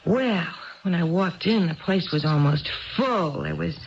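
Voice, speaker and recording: A woman's voice: fairly low pitch, animated, measured pace, a little hiss or hum. An actress playing an anxious, indecisive married woman in a 1970s radio drama, hesitant and rambling as she talks. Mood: confiding, chatty. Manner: hesitant, rambling, self-doubting. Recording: dull, band-limited old radio broadcast.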